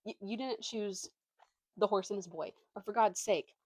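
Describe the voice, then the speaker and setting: A woman's voice: medium pitch, normal speed, dry recording. A young woman chatting casually as a book vlogger, opinionated and slangy, poking fun at herself. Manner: animated slangy delivery, opinionated, self-deprecating.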